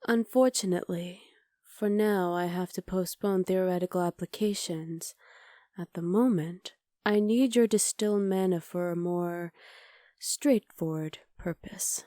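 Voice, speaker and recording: A woman performs a powerful, haughty witch in a low, dark and mellow voice. She speaks softly and formally, with measured menace and an imperious air. The sound is dry and intimate, as in an ASMR roleplay. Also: no room sound, natural voice. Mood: intrigued.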